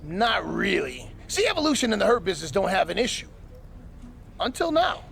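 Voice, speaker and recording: A man playing a sly, smug wrestling manager in a video game cutscene, with a gravelly voice and taunting delivery. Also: high voice, big pitch swings, normal speed, fairly bright tone, a little hiss or hum, exaggerated voice. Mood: smug, threatening. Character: sly, smug male wrestling manager scheming against a champion.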